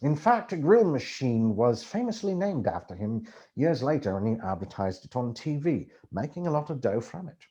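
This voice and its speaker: A man narrates literary prose in the first person. His voice sits at an average pitch but moves very expressively, with theatrical phrasing throughout. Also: big pitch swings, moderate pace, warm tone, muffled recording. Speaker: amateur audiobook reader.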